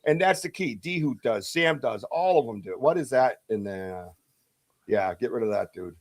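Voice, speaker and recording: A man's voice: mid-range voice, moderate pace. Boston host, man, jokey live-stream banter, swoops up and down a lot. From south of Boston. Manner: animated, jokey, laughs often.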